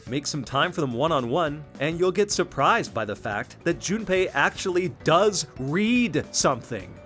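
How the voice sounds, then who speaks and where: A man with a fairly high voice narrates a scripted game review. He is enthusiastic, dropping casual, wry asides, and soft music plays underneath. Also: very animated, a little slow. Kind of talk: scripted video essay narration. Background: background music.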